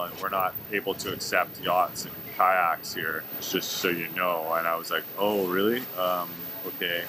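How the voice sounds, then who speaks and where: Deep-voiced canadian guy in his 40s, chatty and easygoing, storytelling outdoors with steady noise behind him. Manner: relaxed, chatty, animated storyteller with lively asides. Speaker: adventure vlogger. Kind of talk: casual travel vlog storytelling. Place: outdoor seaside cafe and on the water in a kayak. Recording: outdoor recording with clear background noise.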